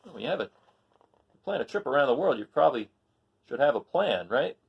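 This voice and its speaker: A man reading a picture book aloud to children, pausing to count along and quiz his young listeners. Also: mid-range voice, big pitch swings, creaky, breathy edge, lo-fi sound.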